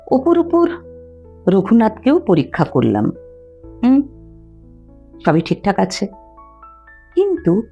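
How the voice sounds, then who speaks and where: A woman reads a short story aloud as a storyteller. Her voice is warm and mellow, unhurried and full of melodic movement, with faint music behind it. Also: mid-range voice, gravelly, breathy edge, lo-fi sound. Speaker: storyteller, reader. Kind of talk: expressive narration of a short story.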